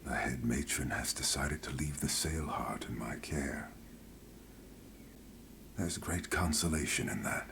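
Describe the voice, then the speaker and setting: A solemn fantasy game character with a very deep voice. He speaks softly and sincerely in measured phrases, over faint ambience. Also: background noise. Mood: quiet, grieving. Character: solemn, earnest man in a fantasy city. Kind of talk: video game voice acting.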